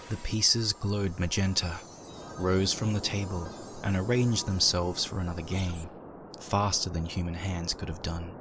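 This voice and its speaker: Man acting brooding horror narrator, deep voice, uneasy, dramatic audio drama reading, clear background ambience noise. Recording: noisy, with background ambience effects.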